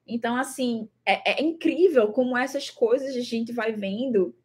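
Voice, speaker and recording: A woman's voice: fairly high pitch, moderate pace, darkish tone, husky. A young Brazilian woman with a Brazilian Portuguese accent talks casually to the camera about her travels, thoughtful and repeating words, with many fillers. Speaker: traveling vlogger.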